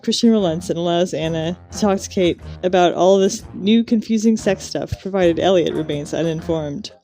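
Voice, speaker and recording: A woman's voice: fairly low pitch, mellow, rough recording. A woman co-hosting a podcast, moving between scripted recap and casual banter in a wry, sardonic way. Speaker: podcast co-host.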